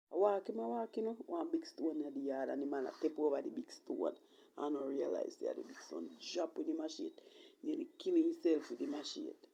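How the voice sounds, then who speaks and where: A woman with a Jamaican accent telling a personal story casually in patois, in a halting, emphatic way that repeats phrases. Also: fairly low pitch, creaky, dark tone, breathy edge, highs cut off. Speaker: vlogger telling own life story.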